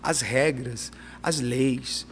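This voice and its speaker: A middle-aged missionary pastor, talking animatedly as a guest in an interview, with frequent restarts. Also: male voice, mid-range voice, extremely slow, slight background noise. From Peru and Brazil. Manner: animated, emphatic, restarts often. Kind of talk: conversational interview.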